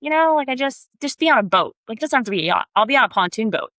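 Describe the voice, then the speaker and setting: A woman's voice: on the high side, animated, rapid-fire, a bit bright, dry recording. A young woman from Texas, co-hosting a podcast in casual chat, bubbly and full of "like" fillers. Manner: chatty, bubbly, lots of "like" fillers. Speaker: podcast co-host.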